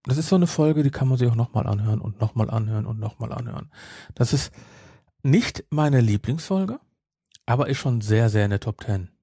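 A man's voice: medium pitch, expressive, fairly quick, warm tone, breathy edge, no echo, studio quality. A middle-aged man chatting casually as a podcast co-host, opinionated and repeating phrases for emphasis. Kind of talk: casual review chat.

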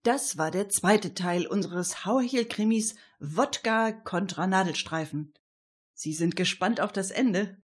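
A woman's voice: mid-range voice, a little slow, breathy edge. A woman presenting and narrating a scripted radio story.

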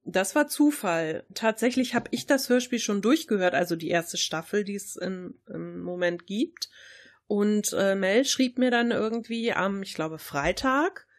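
A middle-aged woman co-hosts a podcast. Her voice sits at an average pitch for a woman. She talks in a colloquial, chatty way, as if reminiscing with a friend. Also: measured pace. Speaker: podcast co-host. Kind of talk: casual chat, reminiscing.

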